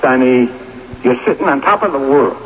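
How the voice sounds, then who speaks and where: A man's voice: mid-range voice, animated, moderate pace, fairly bright tone, natural voice. A man playing an older, pragmatic pal giving insistent, colloquial advice in a radio drama. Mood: urgent, persuading. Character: older pal, streetwise, pragmatic, pushy advice-giver to young athlete. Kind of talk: 1980s radio drama acting. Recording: dull noisy radio recording, long reverb.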